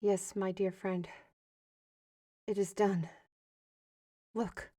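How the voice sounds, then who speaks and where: A commanding woman leading adventurers played by an actress in a fantasy audio drama. She speaks slowly and steadily in a mid-range voice, recorded close and dry. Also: mid-range voice, little pitch movement, dark tone, dry recording. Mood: reassuring.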